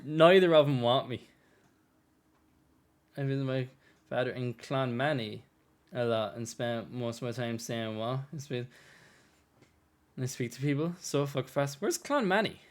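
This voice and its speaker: A man's voice: medium pitch, measured pace, pauses often. An Irish man hosting a casual open community call on a voice chat, bantering, laughing often and swearing freely. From Ireland. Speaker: host.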